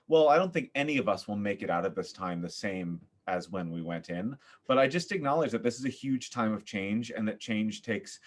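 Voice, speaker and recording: A man speaking as a podcast guest in casual, reflective conversation, earnest and thoughtful with halting phrasing. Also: lowish voice. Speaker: podcast guest, theater director and voice coach.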